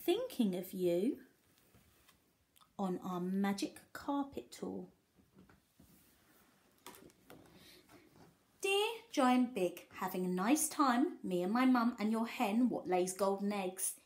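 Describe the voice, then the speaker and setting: Woman playing cocky storybook lad, cheeky, chatty, colloquial, very animated. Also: medium pitch, roomy sound. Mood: breezy. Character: cheeky, boastful young boy from a fairy tale.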